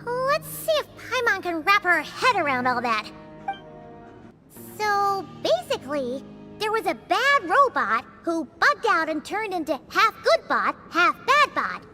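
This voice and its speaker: A tiny female fairy sidekick from a video game, with an extremely high, squeaky childlike voice. She is excitable and chatty, swinging widely up and down in pitch, over clearly audible music. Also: a little slow, heavily acted. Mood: puzzled, working it out. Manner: speaks of herself in third person, exclamatory.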